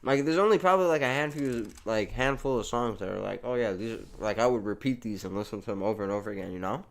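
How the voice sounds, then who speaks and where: A young man from the United States hosts a live game stream, talking in a loose, slangy ramble full of restarts as he answers chat. His voice sits a little low, and keyboard typing can be heard behind him. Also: fast. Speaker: livestreamer. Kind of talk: casual live gameplay chat.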